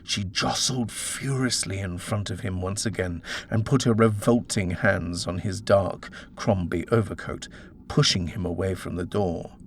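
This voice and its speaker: A man's voice: low-pitched, measured pace. A man reading a horror story as a dramatic audiobook narrator.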